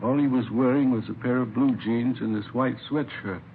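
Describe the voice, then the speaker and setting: An actor playing a worried, ailing grandfather in his late sixties, plaintive and halting, in vintage radio drama style. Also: mid-range voice, steady tone, close to an everyday voice. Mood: anxious. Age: 60s. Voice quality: creaky, gravelly. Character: worried, ailing 68-year-old grandfather of missing boy. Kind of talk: 1950s radio drama acting. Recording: tinny, hissy old radio recording.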